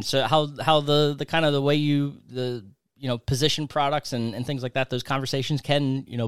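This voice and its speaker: A man with a smooth, clear voice of medium pitch hosts a casual interview in a dry, close sound. He takes his time getting to the point, with rambling, loosely built questions. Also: dry recording. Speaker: podcast host, interviewer.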